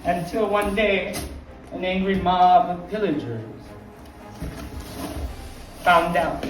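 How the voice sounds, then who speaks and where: A man's voice: high-pitched, fairly even intonation, slow, far from the mic. A man playing a rambling old vampire count who guides viewers through a craft project and keeps drifting into anecdotes. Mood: instructive. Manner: digressive, wanders into anecdotes. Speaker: craft tutorial host in character. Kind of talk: in-character crafting tutorial. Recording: very echoey room, mic from afar. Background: music playing.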